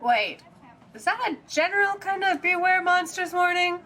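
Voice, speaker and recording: A woman playing a sassy, easily exasperated detective's sidekick in an audio drama, teasing and incredulous in a brisk way. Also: extremely high pitch, animated, sharp tone, full character voice.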